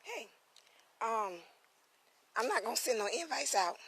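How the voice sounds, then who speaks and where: A hoarse woman from South Carolina, chatting loosely on a live-stream vlog outdoors on family land, greeting viewers by name as she goes. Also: a little high, sing-song, measured pace, a bit bright. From South Carolina, USA. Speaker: spiritual reader and vlogger. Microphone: phone camera.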